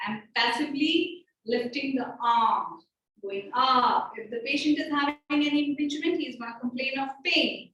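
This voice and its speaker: High-voiced woman teaching in an echoey room. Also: normal speed, sharp tone, dull audio. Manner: explains step by step, checking with frequent "okay" and "right". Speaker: medical teacher. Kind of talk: live clinical demonstration. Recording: very echoey room, voice from far off the mic.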